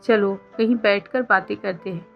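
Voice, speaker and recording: A woman's voice: medium pitch, steady tone, normal speed, creaky, natural voice. A woman voicing a lovestruck, earnest young college woman in a storybook romance, pleading and heartfelt. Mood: affectionate. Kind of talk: scripted story dialogue. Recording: dull lo-fi sound under music.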